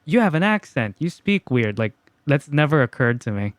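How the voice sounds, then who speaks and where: A young man from the Philippines and the United States, co-hosting a podcast and chatting casually, halting, with restarts and laughs. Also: mid-range voice, big pitch swings, dry recording. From Philippines, then the United States. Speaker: podcast co-host. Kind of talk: casual chat.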